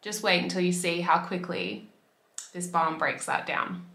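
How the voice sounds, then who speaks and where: A woman in her twenties from Australia, chatting casually to camera as a beauty vlogger, her voice slightly raspy from a cold. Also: fairly low pitch, fairly even intonation, a little slow, pushed and bright, reverberant. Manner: chatty, upbeat, fillers like "like". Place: bedroom by a window.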